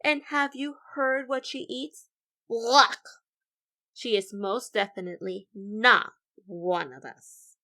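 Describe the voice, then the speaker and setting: A woman's voice: fairly high pitch, slow pace, bright, forceful tone, exaggerated voice. A woman voicing indignant, cliquey nerdy birds from a picture book, emphatic and judgmental.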